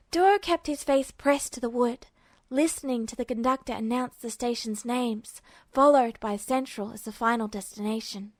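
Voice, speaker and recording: Higher-pitched woman narrating a story. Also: no echo. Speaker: amateur audiobook narrator of fan fiction.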